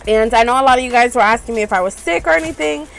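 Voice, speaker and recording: A woman's voice: a little high, racing, creaky, a bit bright, soft background music, poor audio. A woman beauty vlogger with a stuffed-up, congested voice, chatting casually and rambling with lots of ums while she shows her purchases. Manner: chatty, rambling, many ums and "you guys". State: stuffed up from allergies. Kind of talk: casual haul chat. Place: small RV room.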